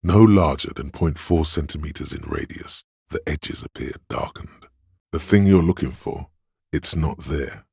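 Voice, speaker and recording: An actor voicing a detached inner sense in a game, describing smells, tastes and touch in calm, clinical second-person narration. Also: male voice, very deep voice, measured pace, vocal fry, slightly rough, dry recording, clean studio sound, heavily acted.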